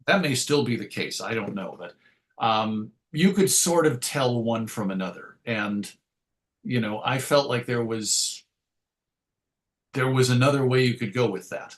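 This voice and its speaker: A man's voice: mid-range voice, expressive, unhurried. A man telling stories as an interview guest in a reflective, wordy way, circling back to correct himself and adding wry asides. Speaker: interview guest, comics writer and radio host. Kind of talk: conversational interview storytelling.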